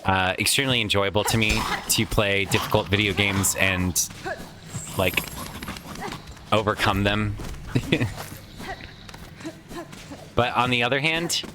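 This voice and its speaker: A man's voice: lowish voice, slow, pauses often, noisy background. A man casually commenting while playing a video game, chatty and rambling with some swearing, his voice tired from a lot of recent use. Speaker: let's play host.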